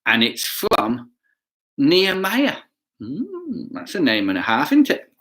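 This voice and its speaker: Animated man telling a children's story. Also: fairly high pitch, slow. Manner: playful, expressive, asking listeners questions. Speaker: children's bedtime story reader. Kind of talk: children's storytelling read aloud with chatty asides.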